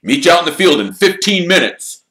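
Guy voicing a loud bossy team captain, crazy keen, hearty, echoey room. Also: fairly high pitch, big pitch swings, fairly quick, voice pushed up. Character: burly, fanatical teen team captain. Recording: roomy echo.

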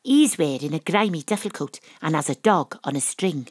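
A woman's voice: deep voice, animated, normal speed, breathy edge, no echo, studio quality. A woman playing a proud, dramatic female detective who narrates her own case in an audio drama. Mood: conspiratorial.

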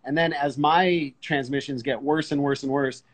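Man, middle-aged, casual host, mid-range pitch, informal thinking-aloud questions, video call. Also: fairly even intonation, normal speed. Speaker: host, pilot interviewer. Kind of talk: casual conversational interview. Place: indoors in an armchair, video call.

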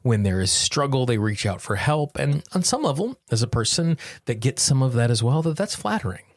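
A man hosting a self-help podcast, coaching listeners directly in measured, deliberate phrases. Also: mid-range voice, very animated, no room sound. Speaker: podcast host and tapping coach.

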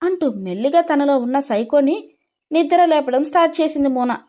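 A woman's voice: high-pitched, fairly quick, a little mellow. A woman reading scripted dramatic story narration as the storyteller.

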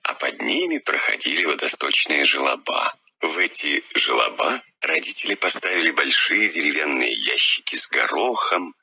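A man reads a children's fairy tale in a very deep, gravelly voice with a lot of melodic movement. His tone is husky and often sinks to a hushed half-whisper. The recording is dry and close. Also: no echo. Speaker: narrator. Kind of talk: scripted fairy tale narration.

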